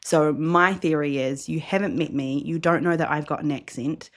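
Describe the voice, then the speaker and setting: A woman's voice: deep voice, normal speed. A woman from New Zealand with a New Zealand accent, co-hosting a podcast in easygoing, reflective casual chat. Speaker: podcast co-host.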